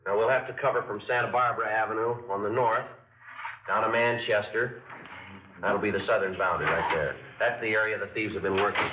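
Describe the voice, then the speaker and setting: Man playing a steady, clipped police sergeant for an old radio drama, very low voice, thin distant broadcast sound with music. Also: fairly quick, roomy sound, narrow, tinny audio, close to an everyday voice. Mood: briefing. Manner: clipped, matter-of-fact delivery. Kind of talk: 1950s radio drama acting with narration.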